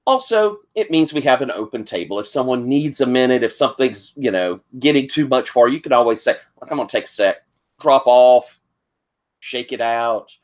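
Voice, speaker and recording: A hoarse, slightly sniffly man serves as game master for a tabletop roleplaying game. He talks casually and rambles, stopping often on fillers. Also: mid-range voice. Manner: rambling, halting with many fillers.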